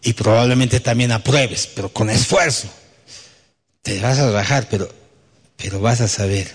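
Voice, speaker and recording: A man's voice: mid-range voice, normal speed, breathy edge. A man, a pastor, preaching conversationally with anecdotes, often putting rhetorical questions and little tag questions to his listeners. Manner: acts out quoted dialogue. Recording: echoey hall with long reverb.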